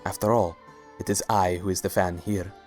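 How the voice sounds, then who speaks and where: A man plays a regal alicorn sultan in an audio story. The voice is low, with courtly, measured, polite phrasing and faint music underneath. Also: level tone, a little mellow, natural voice. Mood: amused, charming. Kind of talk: fan-fiction dramatic reading.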